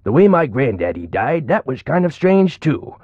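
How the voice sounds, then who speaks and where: A lively, rambling old-timer. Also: male voice, medium pitch, normal speed, dry recording, highs cut off. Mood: folksy, chatty. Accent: American. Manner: folksy, rambling storytelling. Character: rambling, folksy, chatty old man of ninety-two. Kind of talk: video game character acting.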